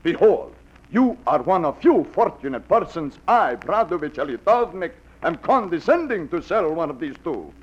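A man doing a blustering fake-Russian salesman from an old radio play, all chuckles and broken English. He sits high and swoops around a lot, with a husky edge. The old broadcast sounds dull and lo-fi. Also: sing-song, moderate pace. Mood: boastful. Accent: put-on Russian. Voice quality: broken English, laughing, theatrical. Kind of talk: 1940s radio acting.

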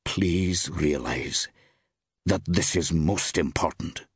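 A man's voice: low-pitched, animated, normal speed, slightly rough, no room sound, studio quality. A man voicing an earnest, urgent young man in an audiobook story, speaking in an insistent manner.

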